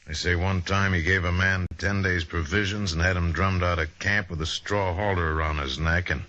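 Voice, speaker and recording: A very low male voice as a loyal frontier trooper on a dull, band-limited vintage radio recording. He talks in rustic, folksy turns, resigned to his lot yet forceful. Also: fairly even intonation, normal speed. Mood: grimly informative. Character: resigned, loyal cavalry soldier owning up to trouble. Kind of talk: old-time radio western acting.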